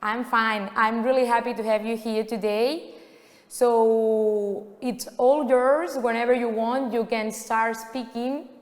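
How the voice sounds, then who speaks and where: A woman hosting a conference, welcoming a speaker in a brisk, upbeat way. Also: on the high side, steady tone, big-room sound. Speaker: event host.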